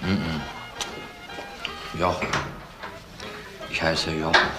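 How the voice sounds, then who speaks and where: An actor playing a pushy, boozy junior boss making crude, insistent advances in a crime radio drama. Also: male voice, a bass voice, flat delivery, drawn-out words, full character voice. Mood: coaxing, insistent. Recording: echoey, with loud music over it.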